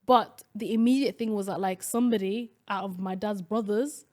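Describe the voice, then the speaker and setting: A woman's voice: mid-range voice, moderate pace, a little mellow. A young woman from Somalia co-hosting a casual podcast chat, talking in a chatty way with many fillers and restarts. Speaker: podcast co-host.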